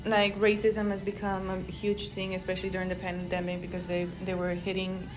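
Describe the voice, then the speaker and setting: A young woman whose was Spanish, co-hosting a casual podcast and chiming in with quick agreement. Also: mid-range voice, completely flat delivery, noisy background. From Rio Grande Valley, Texas. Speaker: podcast co-host. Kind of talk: casual chat.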